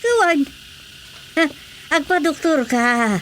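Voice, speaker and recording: A woman's voice: high-pitched, very animated, slow pace, a bit bright, a little hiss or hum, exaggerated voice. A woman acting a concerned, prying married aunt in a radio drama, questioning and insistent with an Ilocano accent.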